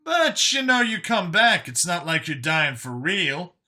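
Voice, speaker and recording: A man voicing an excitable, easily shocked doctor in an audio story, high and strained, with halting, exclaiming speech. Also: exaggerated voice. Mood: incredulous. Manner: exclaiming, halting when searching for words.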